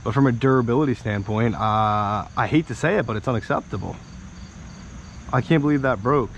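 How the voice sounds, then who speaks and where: American guy doing a chill fishing vlog, average pitch, bit of creekside background noise. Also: a little fast, gravelly. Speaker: fishing vlogger. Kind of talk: casual vlog chat while fishing. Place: outdoors by a small wooded creek. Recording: outdoor noise with insects and ambience.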